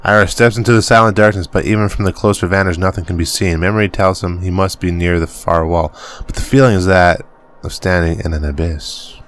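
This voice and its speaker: Deep-voiced man narrating a game. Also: fairly even intonation. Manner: halting reading, stumbles over words and laughs it off. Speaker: gaming channel host. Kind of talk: reading game text aloud as narration.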